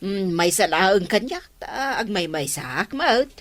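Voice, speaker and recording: A woman's voice: medium pitch, animated, slow pace, no room sound. A woman acting a mild, gossiping village neighbour in a comedy radio drama.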